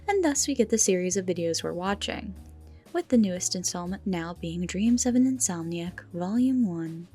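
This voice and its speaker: A woman delivers scripted horror commentary at a medium pitch. She stresses words for drama and often lets the ends of phrases fall to a breathy hush, while music plays behind her. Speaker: video essay host.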